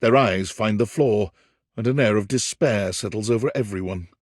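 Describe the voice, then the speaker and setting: A man's voice: on the low side, normal speed, dry recording, clean studio sound, natural voice. An actor playing a wry, dramatic storyteller, a man narrating a cheeky dating game in an arch, florid, theatrical way. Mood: grand, dramatic. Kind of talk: scripted narration.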